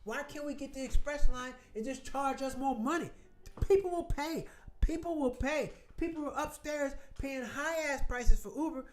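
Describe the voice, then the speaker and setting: A host from Florida chatting casually with viewers, rambling through loose asides and swearing freely. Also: high-pitched. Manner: rambling, salty, talks in quick asides. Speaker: show host.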